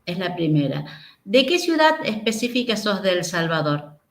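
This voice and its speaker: A woman's voice: lowish voice, very animated, moderate pace. A woman with a South American voseo Spanish accent, hosting an interview and putting questions to a guest. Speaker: interviewer, conference host. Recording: echoey room sound.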